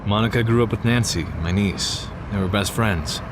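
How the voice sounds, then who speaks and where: A gruff police detective played by a male actor speaks in a lowish male voice. He is guarded and weighed down by grief, in the manner of an audio crime drama. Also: moderate pace, noisy background, natural voice. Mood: businesslike.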